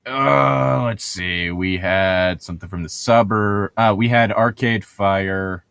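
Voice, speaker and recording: A man's voice: lowish voice, takes it slowly, gravelly, fairly bright tone, smooth, no room sound. A man bantering casually as he reads a novel aloud, swearing freely and heckling the text. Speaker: co-host of a comedic read-aloud show.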